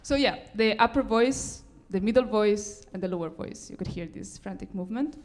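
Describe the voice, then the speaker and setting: Female composer, mid-range voice, giving a live lecture-recital talk in halting, piecemeal phrases. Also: mid-range voice. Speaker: composer presenting own work.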